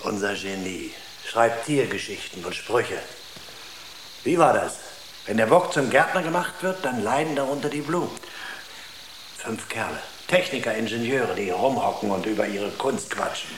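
An actor playing a bitter, suspicious engineer in a radio drama, speaking in sardonic, accusing short phrases. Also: male voice, on the low side, normal speed, a bit bright, lightly acted. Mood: mocking. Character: bitter, scornful, drug-worn male engineer, suspicious of comrades. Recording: roomy echo, clear background noise.

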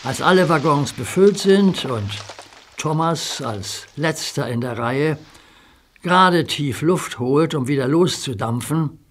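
A male narrator reads a children's audio story in a calm, unhurried storybook manner. His voice sits in a middle range, and a clear hiss runs under the recording. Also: on the high side. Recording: hissy audio play recording.

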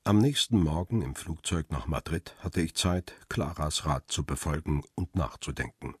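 A man's voice: extremely low pitch, animated, moderate pace, slightly rough, dry recording, studio quality, heavily acted. A man playing a world-weary paparazzo who narrates his own story soberly in a radio crime drama. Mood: sober, reflective. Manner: sober, reflective.

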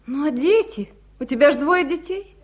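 Young mother, high-pitched voice, tender, gentle, solicitous, reassuring, 1970s radio play. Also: animated, moderate pace. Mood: gently insistent. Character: tender, caring mother, appearing young in memory. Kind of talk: 1970s radio drama acting.

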